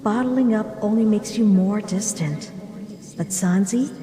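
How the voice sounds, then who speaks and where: A woman voicing a caring female confidante, earnest and gently reproachful, in a dramatic comic dub. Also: mid-range voice, a little slow, crowd noise, close to an everyday voice. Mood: earnest, sorrowful. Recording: echoey long reverb.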